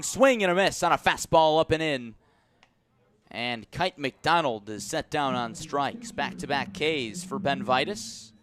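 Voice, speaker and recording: A man calling live baseball play-by-play for the radio in a measured, descriptive way. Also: medium pitch, fairly bright tone. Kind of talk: live radio sports commentary.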